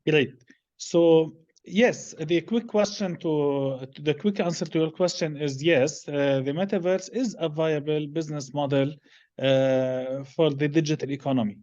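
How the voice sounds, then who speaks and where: Male interview guest, middle-aged, Lebanese accent, halting delivery, dull audio. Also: fairly high pitch, a little mellow. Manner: halting, measured phrasing with many hesitations. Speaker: interview guest, business executive. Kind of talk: unscripted interview answers.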